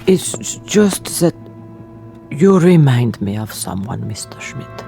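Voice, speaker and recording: Subdued innkeeper, mellow slow voice, wary and halting, old radio drama. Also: female voice, extremely low pitch, sing-song, gravelly, soft background music, exaggerated voice. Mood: nervous. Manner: deferential, halting, guarded. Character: wary, subdued German innkeeper haunted by the war.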